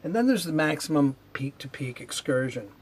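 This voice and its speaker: A man in his fifties giving an unhurried technical explanation to camera in a measured, matter-of-fact way, with a slightly husky voice. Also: on the high side, very animated. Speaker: presenter, loudspeaker R&D manager. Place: indoor video studio with whiteboard.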